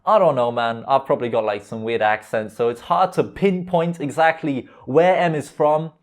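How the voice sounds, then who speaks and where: A man's voice: medium pitch, moderate pace. A twenty-year-old man from Lithuania chatting casually and slangily in a vlog, with a mostly British accent that carries slight American and Lithuanian touches. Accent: mostly British with slight American and Lithuanian tinge. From Lithuania, later moved to the UK. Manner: informal, slangy, with frequent "like", "dude" and "man". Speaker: YouTuber.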